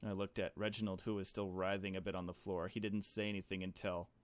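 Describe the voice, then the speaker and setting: A man's voice: deep voice, level tone, fast. A man playing a visual novel, reading the story aloud and adding his own casual commentary. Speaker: let's player.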